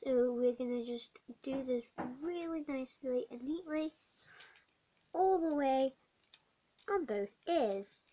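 A young girl with a high, croaky voice from a bad cold, speaking slowly and rambling as she chats through a craft tutorial. She records in a small, dim bedroom on a webcam mic, with faint noise in the background. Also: lively intonation, warm tone. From not American. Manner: chatty, rambling with many ums and uhs. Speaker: craft vlogger. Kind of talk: casual craft tutorial vlog.

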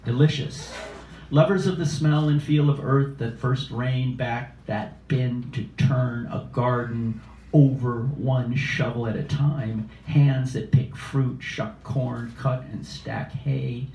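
A man in his sixties reading poetry live at an open mic in a measured, deliberate way, with casual asides. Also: medium pitch, fairly even intonation, dark tone. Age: 60s. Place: small indoor cafe open mic. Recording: roomy, distant cafe live stream with noise.

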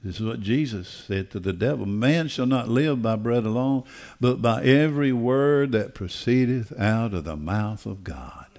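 An older man preaching a sermon in an emphatic, deliberate way, repeating phrases for weight. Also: medium pitch, darkish tone. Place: church auditorium stage. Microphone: headset.

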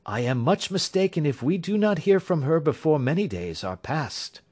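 A man's voice: a little high, big pitch swings, normal speed, a little mellow, pitched above the performer's own voice. A man reading aloud, voicing a keen, grave and impatient male detective in a storybook reading. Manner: crisp, grave, impatient outbursts. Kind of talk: audiobook character reading.

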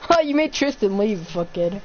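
A teenage boy streaming a shooter, teasing his friend nonstop. His voice sits fairly high, picked up through a dull, lo-fi mic. A faint hiss runs underneath. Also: expressive, moderate pace. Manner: trash-talking, teasing. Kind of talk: casual gaming banter.

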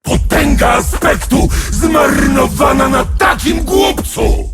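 An actor voicing a bloodthirsty ancient male demon warrior, shouting taunts at his foes in a video game. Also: very high-pitched, takes it slowly, over music, crowd noise. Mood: menacing. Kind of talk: video game character voice lines.

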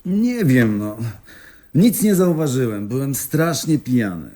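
A man's voice: mid-range voice, big pitch swings, a little slow, dark tone. A man acting in a radio play as a dreamy, absent-minded avant-garde poet with a theatrical, rambling delivery. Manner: theatrical, rambling, head in the clouds.

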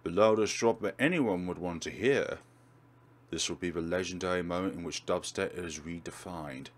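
A man's voice: low-pitched, normal speed. A man hosting a let's play, reading game text aloud and commentating with wry, theatrical asides. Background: game music.

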